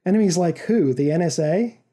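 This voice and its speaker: Doubtful everyman, read by a man: wry, questioning, higher male pitch, very mellow tone. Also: lively intonation, brisk. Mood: wary. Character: skeptical, wry male job candidate. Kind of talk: audiobook-like story reading.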